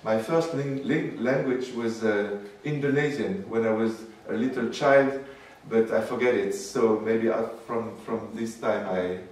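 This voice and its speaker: A man giving a public lecture as guest speaker, speaking haltingly with many fillers and restarts. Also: on the low side, a little mellow. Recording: roomy, distant mic with faint noise.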